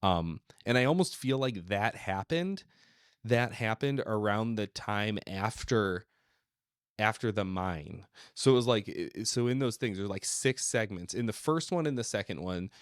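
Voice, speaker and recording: A man with a fairly low voice talks casually as a podcast co-host, working out his thoughts as he speaks. The sound is dry and close, with little room in it. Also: expressive, a little slow, smooth, no echo. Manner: rambling, thinking aloud. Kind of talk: casual discussion of an old radio serial.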